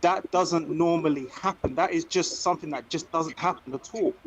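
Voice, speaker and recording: A man's voice: fairly high pitch, normal speed, a loud crowd. A man from Africa, arguing insistently and defensively in a heated panel debate, often repeating himself. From West Africa. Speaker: panel guest.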